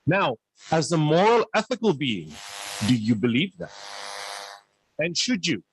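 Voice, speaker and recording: A man's voice: on the high side, sing-song, measured pace, slight background noise, rough recording. A man speaking as an academic on a panel, earnest and lecturing, firing off rhetorical questions. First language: not Urdu. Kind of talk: panel discussion remarks.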